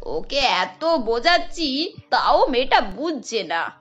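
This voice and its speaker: A woman's voice: sits high, big pitch swings, slow, a bit bright, heavily acted. A woman voicing a scheming older mother-in-law in a cartoon, wheedling and complaining dramatically. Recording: dull audio over background music.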